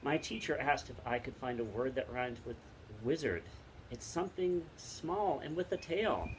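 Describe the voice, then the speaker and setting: A middle-aged man, a teacher, casually recommending and reading books aloud outdoors, pausing often mid-sentence. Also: mid-range voice, expressive, rough recording. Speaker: teacher and read-aloud co-host. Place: outdoors in wind. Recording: wind noise on the microphone.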